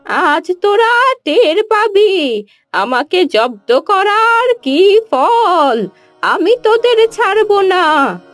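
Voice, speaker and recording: A woman voicing a spiteful old village woman in a cartoon, with slow, drawn-out, strained speech. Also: very high voice, very animated, no room sound, soft background music, pitched above the performer's own voice, heavily acted. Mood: spiteful, threatening. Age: older. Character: spiteful old woman scheming against villagers, later frail.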